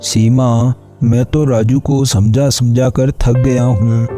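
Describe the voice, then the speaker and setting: A man's voice: medium pitch, little pitch movement, slow, music in the background, natural voice. A man playing a weary, ageing poor farmer father in a cartoon, speaking in an earnest, plaintive way. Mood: troubled, pleading. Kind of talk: Hindi cartoon voice acting.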